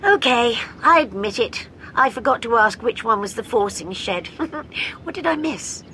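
A woman's voice: fairly high pitch, expressive, unhurried, bright, forceful tone, a little hiss or hum, pitched above the performer's own voice, heavily acted. A woman voicing a bashful, frank young female companion with a sheepish, candid manner.

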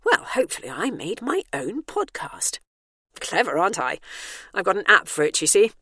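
A woman's voice: medium pitch, sing-song, moderate pace, pushed and bright, husky, no room sound, clean studio sound, full character voice. A woman voicing a smug, cheeky older heroine. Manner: smug, breezy.

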